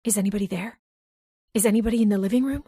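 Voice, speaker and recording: A woman's voice: medium pitch, racing, mellow, breathy edge, no echo, studio quality. A woman playing a frightened, distrustful heroine in an old-time radio horror drama, with anxious, dramatic delivery. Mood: hushed, fearful. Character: frightened, distrustful woman under threat in a thriller. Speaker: actress in an audio drama.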